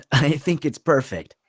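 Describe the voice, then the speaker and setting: A man's voice: medium pitch, husky, no room sound, clean studio sound. A man reading the part of an easygoing, supportive husband and father of thirty at a script table read.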